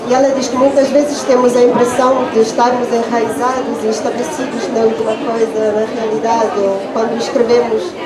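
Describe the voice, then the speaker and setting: A woman's voice: on the high side, level tone, brisk, few pauses. A young adult woman, speaking Portuguese as a second language, talks hesitantly and thoughtfully about poetry at a book launch, with many restarts and fillers. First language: not Portuguese. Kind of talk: unscripted talk at a book launch. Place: book fair in a public space. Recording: echoey, mic far off, loud crowd behind.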